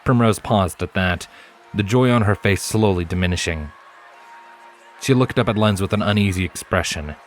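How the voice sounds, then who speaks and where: A man's voice: deep voice, moderate pace, slight background noise. A man reading scripted story narration as an audiobook narrator. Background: background music.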